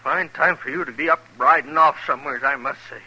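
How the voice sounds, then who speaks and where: An actor playing an agitated, indignant frontier bank owner in a western radio drama, with an American accent and a fretful, scolding manner. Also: male voice, fairly high pitch, a little fast, sharp tone, husky, dull audio, full character voice.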